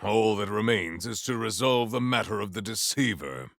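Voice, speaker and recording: Game hero, stern proud warrior: gravelly, formal, theatrical delivery that swings widely in pitch. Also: male voice, animated, moderate pace, fairly bright tone, no echo, studio quality. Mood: solemn, formal. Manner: formal, grandiose, theatrical declarations. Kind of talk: video game character voice lines.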